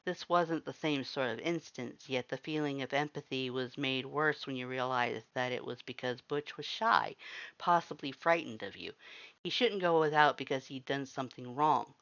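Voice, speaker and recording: Female story narrator, low register, audiobook delivery, dull lo-fi recording. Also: moderate pace, fairly bright tone. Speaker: fan fiction narrator.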